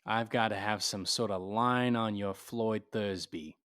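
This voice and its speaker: An actor playing a tough, wry, cynical private detective in a 1940s-style radio drama, with slangy, clipped delivery. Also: male voice, lowish voice, fairly even intonation, unhurried, a bit bright. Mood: wry, brisk.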